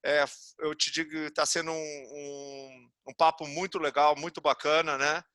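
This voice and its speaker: A man's voice: a little high, level tone, unhurried, bright, forceful tone, smooth, no room sound, studio quality. A Brazilian man hosting a podcast interview, effusive and folksy as he wraps up the talk. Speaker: podcast host.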